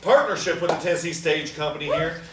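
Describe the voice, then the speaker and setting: A man's voice: a little high, quick pace. A man making casual announcements to a theater audience, chatty and teasing. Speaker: theater company organizer. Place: theater or event room. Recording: roomy, from far off, faint noise.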